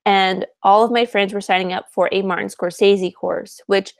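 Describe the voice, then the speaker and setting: A woman's voice: mid-range voice, fairly even intonation, gravelly, no room sound. A woman in her twenties co-hosting a podcast, chatting casually and laughing at herself. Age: 20s. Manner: chatty, self-deprecating, quick to laugh. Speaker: podcast co-host. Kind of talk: casual chat.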